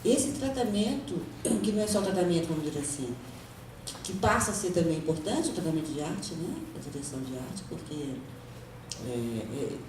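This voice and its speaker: A woman with a low, mellow voice asks long, hesitant questions, repeating words and leaning on fillers. She sounds far from the mic in a very echoey room. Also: expressive, a little fast, slight background noise. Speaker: moderator asking questions. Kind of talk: panel talk.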